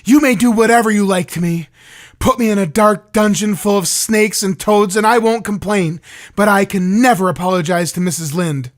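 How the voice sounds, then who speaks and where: A man's voice: high voice, close to an everyday voice. A man voicing a defiant, passionate young orphan girl in a read-aloud story. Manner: fierce and fast.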